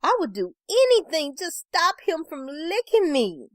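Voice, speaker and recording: Woman acting out a hysterical shrieking wife, high-pitched. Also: wildly swooping pitch, a little slow, dry recording, clean studio sound, exaggerated voice. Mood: panicked. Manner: shrieking, pleading, crying out. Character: hysterical wife, whiny, foul-mouthed, pleading in panic.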